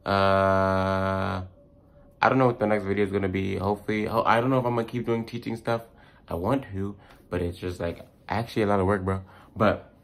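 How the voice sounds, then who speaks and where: Low-voiced man giving casual pronunciation tips. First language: English. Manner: slangy, informal, demonstrating sounds by repeating words. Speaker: host of a language tips video. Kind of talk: casual vlog-style pronunciation lesson.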